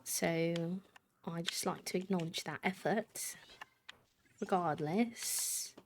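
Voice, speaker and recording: Woman, American accent, lowish pitch, live streamer, rambling casual chat, self-deprecating. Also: slow, background noise. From United States.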